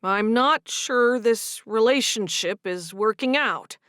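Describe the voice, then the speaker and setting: A wounded, earnest young man, as a woman narrator puts him on, with a lively rise and fall in the voice and a fairly high tone. The storybook reading sounds dry and close, with no room around it. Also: normal speed, dry recording. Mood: hurt. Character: hurt young man confronting a girlfriend.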